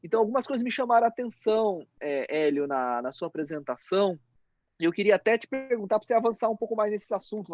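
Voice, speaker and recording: Male academic moderator, talks on at length with tag questions, slightly higher voice, dry muffled call audio. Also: a little mellow, no echo. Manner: rambling, frequent "né?" tags. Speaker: mediator and law professor. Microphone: video call.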